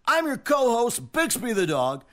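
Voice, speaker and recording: Performer as a folksy dog co-host, lively announcer swing. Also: mid-range voice, a little slow. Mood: jolly. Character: jolly male dog radio co-host. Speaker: radio co-host. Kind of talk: comic radio play acting.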